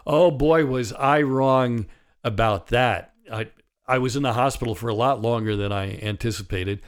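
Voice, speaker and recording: A man in his sixties with a raspy voice from a partly paralyzed vocal cord, talking candidly and wryly as host of a solo podcast. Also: medium pitch, normal speed. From United States. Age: 60s. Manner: candid, wry and conversational, with chuckles. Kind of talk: reflective solo podcast monologue.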